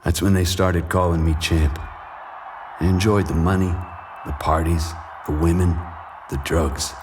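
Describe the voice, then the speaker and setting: An actor playing a weary, washed-up boxer who narrates his haunted past in a measured noir style. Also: low-pitched, steady tone, background noise. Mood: weary, reflective. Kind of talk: brooding first-person noir narration in audio drama. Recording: music bed under the voice.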